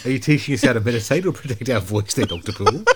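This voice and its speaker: A man's voice: medium pitch, animated, racing, slight background noise. A man co-hosting a podcast, wryly feeding questions and laughing easily. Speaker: podcast co-host. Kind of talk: scripted podcast chat with banter. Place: recording studio.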